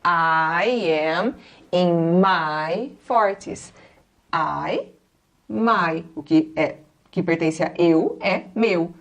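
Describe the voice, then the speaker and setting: Patient Brazilian woman in her forties teaching. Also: on the low side. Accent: Brazilian Portuguese. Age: 40s. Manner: patient, repeats each example slowly. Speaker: English teacher. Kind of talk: classroom-style explanation.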